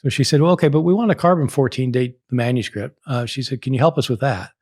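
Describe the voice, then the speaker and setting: A man in his sixties from the United States, a scholar telling a lively anecdote in an interview and laughing often. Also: mid-range voice, fast, husky, no echo, clean studio sound. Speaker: guest scholar. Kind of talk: storytelling in a podcast interview.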